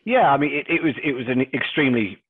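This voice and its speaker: A man in his thirties with a Liverpool accent, a podcast guest who talks haltingly and repeats words and fillers. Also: medium pitch, sing-song, husky, dry recording. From England. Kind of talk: casual interview chat. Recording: dry tinny phone-like line.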